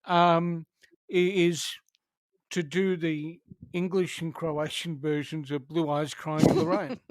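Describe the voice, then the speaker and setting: A man's voice: a little high, steady tone, slow, dry recording. A man hosting an interview, chatting casually and rambling with hesitations. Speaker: interviewer host.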